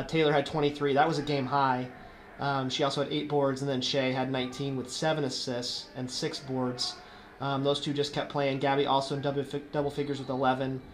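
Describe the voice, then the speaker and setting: A man acting as postgame interviewer, recapping sports numbers and putting questions to a coach. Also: medium pitch. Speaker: press conference interviewer. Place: indoor press conference room, off camera. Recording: faint background noise.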